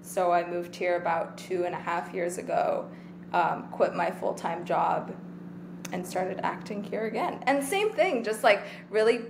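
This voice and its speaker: Twenties, from Taiwan, interview guest, easygoing rambling talk, faint background hiss. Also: female voice, fairly low pitch, a little slow, gravelly. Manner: relaxed, rambling with many fillers. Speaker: guest actor. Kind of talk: casual interview chat.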